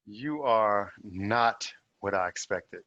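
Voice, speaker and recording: A man reading the part of a proud, plain-spoken young man in a measured, direct way at a table read. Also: on the low side, bright, forceful tone, dull audio. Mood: defiant, questioning. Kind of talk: film script table read.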